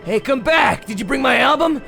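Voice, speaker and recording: A man voicing an undead male singer who has turned killer, lyrical and eerie, in a put-on gravelly voice over loud game music. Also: very high voice, animated, a little fast, pitched above the performer's own voice, heavily acted. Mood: pleading. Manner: soft, creepy friendliness turning to shouted pursuit.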